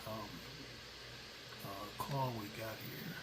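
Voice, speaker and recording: A man with a scratchy voice giving a relaxed, halting walkthrough of a video game, explaining as he plays. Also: lowish voice. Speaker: gameplay commentator. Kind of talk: casual let's-play walkthrough commentary. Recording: loud laptop hiss behind voice.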